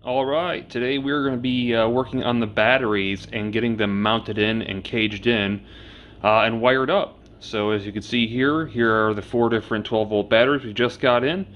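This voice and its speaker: A man's voice: medium pitch, moderate pace, highs cut off, slight background noise. Easygoing man explaining a DIY build. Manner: plain-spoken, relaxed, frequent "uh" fillers. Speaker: DIY mechanic vlogger. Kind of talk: casual how-to narration.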